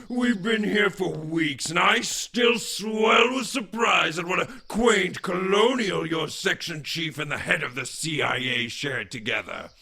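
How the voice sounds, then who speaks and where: Florid imaginary companion, high raspy voice, theatrical swoops, audio comedy. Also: male voice, bright, forceful tone, full character voice. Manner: pompous, flowery, grandly mannered. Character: florid, theatrical man living in another man's mind. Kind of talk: audio comedy drama acting.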